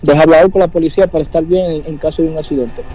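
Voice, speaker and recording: A man's voice: fairly high pitch, steady tone, rapid-fire, dark tone. A man answering questions on a phone call, earnest and hesitant, repeating phrases. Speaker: prank call victim.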